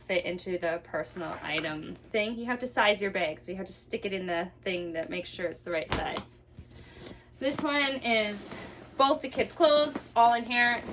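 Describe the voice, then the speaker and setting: A woman's voice: mid-range voice, a bit bright. A young woman chats casually in a family vlog, thinking aloud as she goes about a task at home. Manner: informal, thinking aloud while busy. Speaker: vlogger and mother of two young children. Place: indoors at home. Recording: clearly noisy home recording with clicks.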